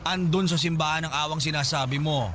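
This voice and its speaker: A man's voice: a little high, fairly quick, fairly bright tone, a little hiss or hum, natural voice. A man playing a sassy, sarcastic food-stall keeper with a Filipino accent in a radio drama, snide and tart. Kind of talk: Tagalog radio drama acting.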